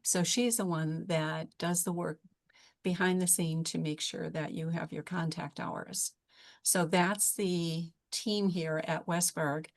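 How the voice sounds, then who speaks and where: A woman informally presenting her organization on a work call, hesitating and pausing often. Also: on the low side, steady tone, creaky.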